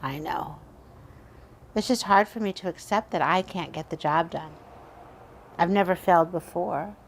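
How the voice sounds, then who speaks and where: A vain, seductive spirit, played by a woman, pouts through a radio horror drama. Her voice sits slightly low for a woman, sulky and lamenting. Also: unhurried, vocal fry, slight background noise. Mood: frustrated.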